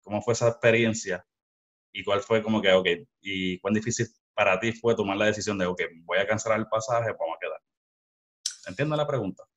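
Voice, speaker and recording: Puerto rican guy w headset mic, lowish voice, rambles and restarts a lot, kinda muffled lo-fi sound. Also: fairly quick, fairly bright tone. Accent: Puerto Rican Spanish. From Puerto Rico. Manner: rambling, many restarts and fillers. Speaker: podcast host. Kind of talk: casual video-call interview. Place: small room at home. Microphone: headset with studio mic. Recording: dull lo-fi sound.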